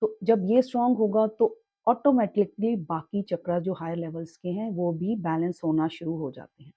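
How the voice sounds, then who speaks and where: A young woman teaching in a calm, reassuring way, with a sore throat that makes her clear it often. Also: lowish voice, normal speed, dry recording, muffled recording. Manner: calm, reassuring, mixes English words into her talk. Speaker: chakra healing teacher. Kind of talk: casual spoken tutorial.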